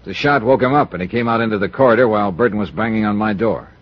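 An actor playing a wry, brisk American insurance investigator in a 1950s radio drama, with clipped hard-boiled delivery. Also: male voice, on the low side, close to an everyday voice. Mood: matter-of-fact. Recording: muffled old radio recording with music.

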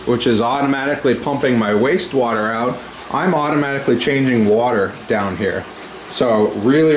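A man's voice: mid-range voice, a little hiss or hum, rough recording. Chatty man touring his basement. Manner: relaxed and chatty, many ums and uhs. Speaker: aquarium hobby channel host. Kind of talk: casual walkthrough tour. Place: home basement. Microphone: handheld camera, speaker off-screen.

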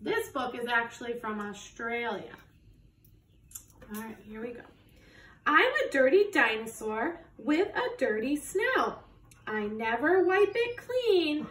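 A woman's voice: fairly high pitch, very animated, slow pace, a bit bright. A woman in her thirties reading a picture book aloud to children like a teacher, encouraging them to join in, recorded on a phone in a living room. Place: living room at home, indoors. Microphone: phone camera from a few steps away. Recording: roomy echo, distant phone mic, background noise.

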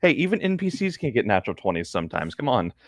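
A man's voice: mid-range voice, sing-song, fast talker, no room sound, studio quality. A man co-hosting a podcast in casual chat, joking and laughing often. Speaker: podcast co-host.

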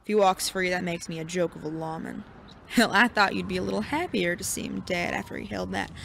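An actor playing a cocky, foul-mouthed young sheriff in a Western audio drama, with a creaky voice and folksy, combative frontier talk. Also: mid-range voice, brisk, fairly bright tone, a little hiss or hum.

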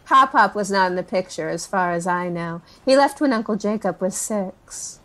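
A woman's voice: mid-range voice, animated, normal speed, a bit bright, natural voice. An actress playing a chatty, nervous woman in her forties in an audio drama, rambling through family memories with sighs and self-corrections. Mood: matter-of-fact. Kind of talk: interviewee in a found-footage audio drama.

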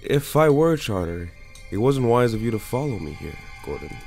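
A man playing a calm, cryptic older monk-like sage in a sci-fi audio drama, measured, knowing and gentle. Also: medium pitch, creaky, soft background music. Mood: knowing, genial.

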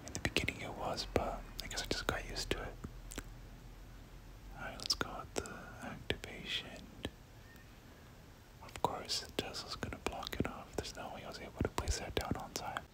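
A gaming creator giving ASMR game commentary in a breathy whisper, often repeating words in soft rhythmic runs. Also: moderate pace, poor audio.

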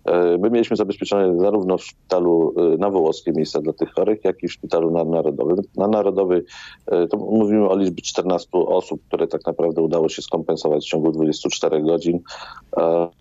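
Very deep-voiced man, hesitant. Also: steady tone, a little fast, mellow. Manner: hesitant, repeats words as he explains. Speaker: doctor, hospital chief interviewed as guest. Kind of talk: live radio interview answers. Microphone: video call. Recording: dull, roomy video-call sound.

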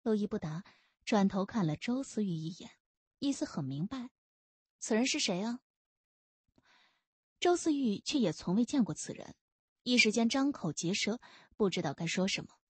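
Husky woman narrating a novel, very expressive. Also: medium pitch, normal speed, no room sound. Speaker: audiobook narrator.

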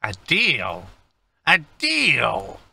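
A man's voice: mid-range voice, huge pitch swings, drawn-out words, vocal fry, bright, forceful tone, breathy edge, dry recording, full character voice. A man voicing a curt, dismissive old female alchemist in a tabletop game.

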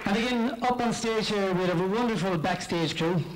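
A middle-aged man with a western Irish accent giving an informal, halting thank-you speech from a stage into a handheld microphone. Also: high-pitched, crowd noise. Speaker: pantomime company speaker giving thanks. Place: on stage in a theatre. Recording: noisy live theatre recording, loud audience.

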